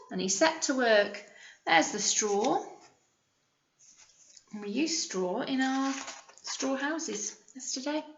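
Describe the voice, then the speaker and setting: A British woman reads a storybook as a primary teacher would, with a highish, very lively voice that rises and falls. She speaks simply to young children and stops to ask them questions. The sound is roomy. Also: lo-fi sound. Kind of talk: picture book read-aloud with teaching asides and questions.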